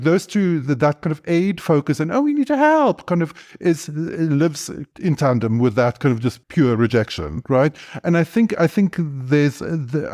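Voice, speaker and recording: A man discussing, in a halting casual way, with many "you know" and "kind of" fillers. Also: a little high, darkish tone.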